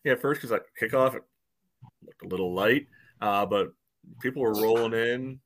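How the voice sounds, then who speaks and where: A man's voice: mid-range voice, normal speed. A man co-hosting a sports podcast, rambling casually with lots of fillers. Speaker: podcast co-host.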